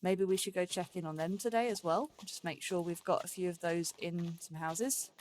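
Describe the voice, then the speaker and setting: A woman's voice: lowish voice, fairly even intonation, moderate pace, a little mellow, slight background noise. A woman giving casual let's play commentary as the host of a gaming series, rambling and thinking aloud as she goes.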